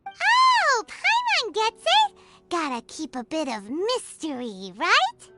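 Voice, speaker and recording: A tiny, excitable female sprite for a game, squeaking at a very high pitch that leaps wildly up and down. She speaks of herself in the third person, bright and bubbly, drawing her words out slowly. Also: loud music behind the voice, heavily acted. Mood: curious chirpy. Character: tiny floating female companion creature, excitable. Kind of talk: video game voice acting.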